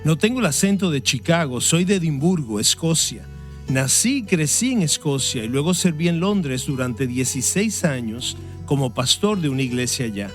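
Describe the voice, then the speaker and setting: Earnest male pastor with lively pitch. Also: soft background music. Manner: earnest, measured preaching delivery. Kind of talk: sermon and interview answers.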